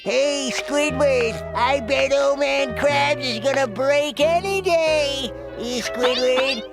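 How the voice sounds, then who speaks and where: Cartoon sea sponge: very high voice, childlike, excitable, over loud music. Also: male voice, gravelly, exaggerated voice. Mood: eager, pestering. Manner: eager, repeats himself insistently. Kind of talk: cartoon voice acting.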